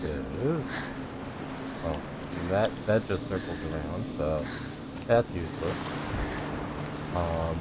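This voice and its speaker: A man giving casual let's play commentary, thinking aloud in a halting way. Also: low voice, heavy background noise. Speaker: gaming YouTuber.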